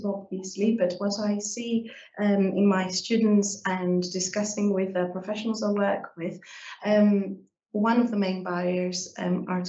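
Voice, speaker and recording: A woman's voice: medium pitch, mellow. Greek woman lecturer, echoey hall. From Greece. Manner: measured, hesitant with frequent ums. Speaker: lecturer in education. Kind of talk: panel discussion. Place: university seminar room with audience. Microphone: handheld microphone. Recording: echoey room from a distance, dull sound.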